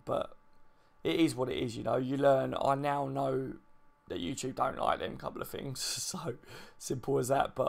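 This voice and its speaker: A man with a deep voice hosting a casual live stream from home, speaking quietly and in a laid-back way with the mic right in front of his mouth. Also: gravelly. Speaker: channel host. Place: at home, baby asleep next door.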